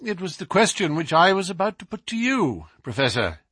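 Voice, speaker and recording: A man playing a composed, amiable gentleman detective, polite and formal, in a storybook reading. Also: high-pitched, big pitch swings, brisk, slightly rough, no echo, clean studio sound, pitched above the performer's own voice, exaggerated voice. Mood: polite, guarded. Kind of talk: dramatised audiobook reading.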